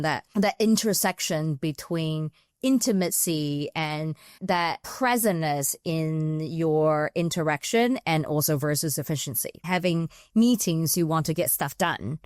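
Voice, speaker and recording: A woman's voice: sits low, no echo, clean studio sound. A woman in her forties, originally from Taiwan and raised in New Zealand, speaking as a podcast guest and HR consultant. She talks reflectively and often circles back to rephrase her ideas. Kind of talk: reflective conversational interview answers.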